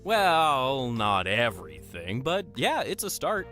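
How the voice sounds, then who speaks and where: Hesitant cartoon game character, dry, slow, very expressive intonation. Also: male voice, medium pitch, exaggerated voice. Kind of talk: cartoon adventure game acting. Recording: music under the voice.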